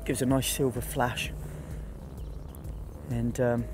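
A man guiding a beginner through fishing by a lake, giving quick, repeated instructions. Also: medium pitch, little pitch movement, over music. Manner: urgent, repetitive instructions. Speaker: fishing guide. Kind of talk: on-the-spot coaching. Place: outdoors by a lake.

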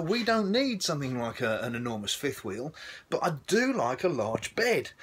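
A middle-aged man with a British accent giving a chatty, rambling walk-through pitch to camera as a salesman. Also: fairly bright tone. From United Kingdom. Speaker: RV salesman presenting a vlog. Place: RV sales lot, outdoors and inside trailers. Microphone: phone at arm's length. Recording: handheld recording with clear ambient noise.

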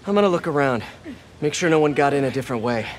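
An actor playing a young wisecracking superhero in a video game, earnest and curious, with a quippy, conversational delivery. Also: male voice, a little high, expressive, fast talker, a little hiss or hum, lightly acted. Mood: alert, curious. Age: young adult. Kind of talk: naturalistic video game acting.

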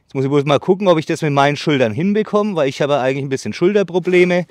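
A man in his thirties with a somewhat high voice chats his way through a tool demo in an open meadow, a little winded from sawing. His talk is loose and full of fillers, recorded by a camera set back from him, with a dry sound. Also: a little mellow, no room sound. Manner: chatty, filler-heavy, pauses while sawing. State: slightly out of breath from sawing. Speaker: bushcraft channel host. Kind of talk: casual product demo. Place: outdoors in a leafy meadow by trees. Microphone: far camera on a tripod.

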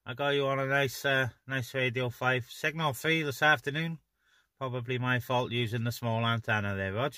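Guy doing casual cb radio chat from his car, sharp bright voice, pretty dry sound. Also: medium pitch, fairly even intonation, normal speed, dry recording. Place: inside a parked car on open moorland.

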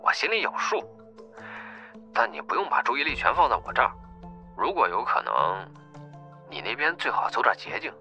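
Man as a sharp, stubborn gangster on the phone. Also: mid-range voice, huge pitch swings, moderate pace, long pauses, faint music behind, heavily acted. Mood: insistent. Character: stubborn, determined young gang man on phone. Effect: telephone filter. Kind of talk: audiobook character acting. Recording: dull phone-filtered sound.

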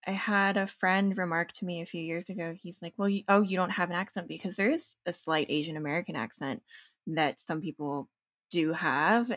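A woman who runs her own business talks as a podcast guest, reflective and quick to laugh between thoughts. Her voice is fairly low and the recording is dry and close. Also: no room sound. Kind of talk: casual interview chat.

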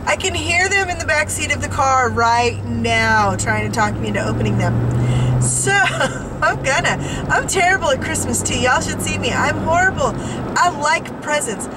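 Bubbly high-voiced woman chatting while driving. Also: animated, moderate pace. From California. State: sniffly from allergies. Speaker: vlogger. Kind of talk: casual chat while driving. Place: inside a car, driving. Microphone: dashboard camera mic. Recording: road noise behind voice.

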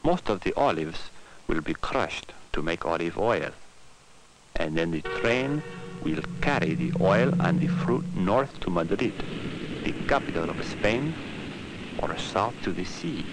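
A man narrating an educational film in simple scripted sentences, telling it like a story in measured, deliberate phrases. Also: low-pitched, lively intonation, heavy background noise. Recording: 1960s educational film soundtrack with music. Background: guitar music.

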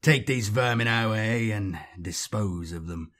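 A man's voice: lowish voice, measured pace, a bit bright. A man voicing a ruthless, suspicious male inquisitor who talks in a harsh, accusing way.

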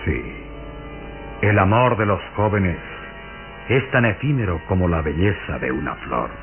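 Slow, deep, sinister count. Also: male voice, expressive. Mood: mocking, insinuating. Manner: measured, courtly, insinuating. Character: sinister pale vampire count, courtly, mocking, cynical. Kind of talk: Mexican radio drama acting. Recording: muffled old radio recording with music.

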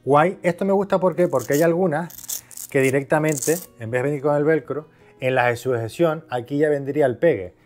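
A man's voice: on the high side, moderate pace, a little mellow, faint music behind. A man from Spain giving a casual, conversational product review, explaining things by asking and answering his own questions. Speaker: video host, product reviewer.

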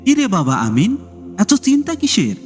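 Man voicing a wise old neighbour, lively and reverberant. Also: high-pitched, moderate pace, voice pushed up. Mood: gently teasing. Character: wise, kindly elderly male neighbour giving counsel. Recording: long reverb, music behind.

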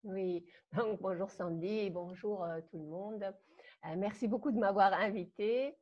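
A woman in her fifties from Germany, speaking with a German accent, tells her story as a guest on a video call. She talks in an animated way, hesitates often and laughs between phrases. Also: medium pitch, unhurried. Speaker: guest dance teacher. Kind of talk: personal storytelling on a live call. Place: home room. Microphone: webcam on a video call. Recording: muffled video call audio.

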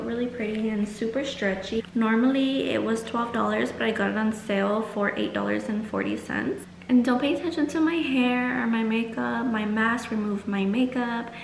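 A woman's voice: on the high side, vocal fry, echoey room, slight background noise, rough recording. A young woman chatting casually and informally to viewers in a vlog, sprinkling her talk with "like". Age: young adult. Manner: chatty, informal, heavy use of "like". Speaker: vlogger. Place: small room at home, indoors.

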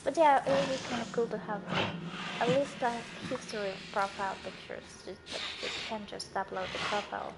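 Gravelly-voiced woman streaming a game. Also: fairly high pitch, a little fast. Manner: chatty, answers viewers between gameplay. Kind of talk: casual chat while playing. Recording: loud game noise behind voice. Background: game sounds.

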